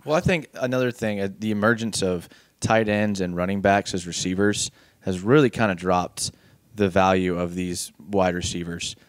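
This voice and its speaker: Very deep-voiced man talking sports. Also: normal speed, dry recording. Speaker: podcast co-host. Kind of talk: casual sports podcast chat.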